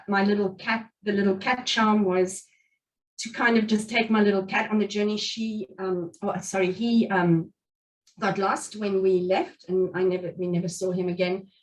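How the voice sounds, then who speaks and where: Afrikaans-native woman, middle-aged, sick with covid, earnest speaker, distant mic. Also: mid-range voice. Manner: earnest, reflective, many restarts and fillers. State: has covid. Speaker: guest speaker. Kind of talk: motivational talk at a meetup.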